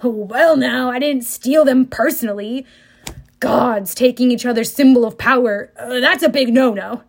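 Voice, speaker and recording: Woman doing swaggering male war god, loud, sneering, audiobook, higher voice. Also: a little slow, gravelly. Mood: cocky.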